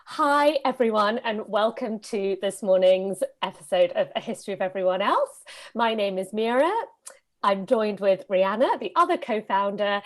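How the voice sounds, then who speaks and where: Woman, from Britain, host, articulate, presenterly introduction, middle-pitched voice. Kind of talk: welcoming introduction to an online show.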